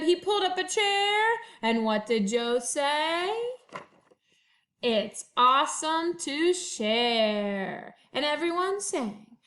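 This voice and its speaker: A woman with a high voice talks to young children as their teacher. She takes her time, stretching phrases expressively as in a picture-book read-aloud, and sometimes sings the refrains. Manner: expressive, drawn-out storytelling with sung refrains. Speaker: first-grade teacher. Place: at home.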